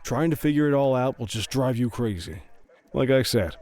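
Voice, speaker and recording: A man's voice: mid-range voice, lively intonation, moderate pace, a little mellow, natural voice. Man playing a stern, paternal police captain. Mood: grave, paternal. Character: stern, paternal male police captain, middle-aged or older. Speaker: police chief addressing officers. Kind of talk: dramatic video game cutscene acting.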